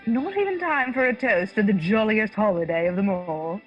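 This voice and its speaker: A woman's voice: medium pitch, lively intonation, normal speed, dull audio, soft background music, natural voice. An actress playing a cheery, chummy tavern landlady in a radio play. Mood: jolly, chummy. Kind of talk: radio drama acting.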